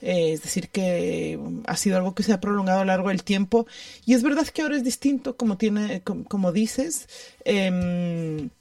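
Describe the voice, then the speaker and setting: A woman's voice: medium pitch, gravelly, dark tone, no echo, studio quality. Reflective woman, recorded at a distance. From Ecuador. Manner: halting, thoughtful, emphatic repetition, question tags. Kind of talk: reflective personal conversation.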